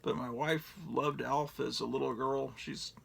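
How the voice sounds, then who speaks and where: A man's voice: mid-range voice, fairly even intonation, unhurried, fairly bright tone. A middle-aged man in a casual, rambling show-and-tell, profane and digressive with a self-mocking streak. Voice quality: gravelly.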